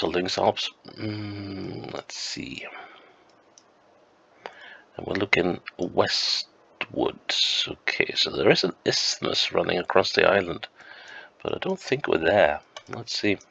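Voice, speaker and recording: A man with a flu, sounding croaky and sniffly, thinking aloud slowly and hesitantly as he plays a map game at home. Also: sits low, sharp tone, lo-fi sound. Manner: slow, musing, hesitant with many ums. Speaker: game streamer. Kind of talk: thinking-aloud gameplay commentary.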